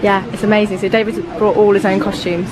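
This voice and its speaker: A British woman doing a casual, quick-fire interview in a gushing manner. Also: mid-range voice, level tone, low-quality recording. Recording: music and crowd noise behind. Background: music and crowd.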